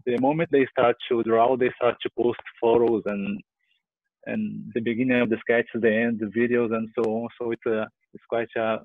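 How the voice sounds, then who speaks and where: A man's voice: mid-range voice, dry recording. A man in his thirties with a Brazilian accent, giving an informal group report and often rephrasing himself. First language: Portuguese. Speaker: sketching group organiser. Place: room at home. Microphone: headset on a video call. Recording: dry, thin phone-like call audio.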